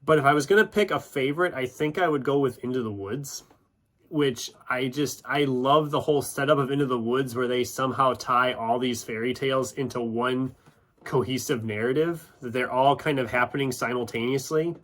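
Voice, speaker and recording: A man talks casually to his viewers as a channel host. His voice sits at an average pitch. He speaks informally, with self-deprecating asides. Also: normal speed, a bit bright. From United States, Iowa. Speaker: YouTube book channel host. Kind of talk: casual chatty vlog, answering questions.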